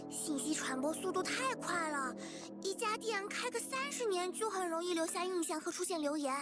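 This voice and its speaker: A woman's voice: very high-pitched, animated, moderate pace. An actress voicing a cheerful, chatty young girl in a visual novel. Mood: bright, confident. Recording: game music behind the voice.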